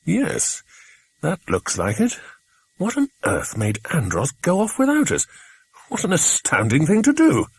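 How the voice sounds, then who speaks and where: A man reading the part of a calm, protective grown man in a children's adventure audiobook, firm and reassuring. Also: on the high side, a little slow, slightly rough, no echo, voice pushed up, exaggerated voice. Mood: baffled, annoyed.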